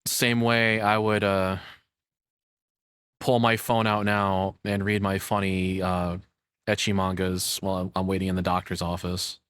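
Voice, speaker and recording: Low-voiced man, casual commentary, very dry sound. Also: flat delivery, a little slow, a bit bright, clean tone, no echo. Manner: informal, freely swearing. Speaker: reaction streamer.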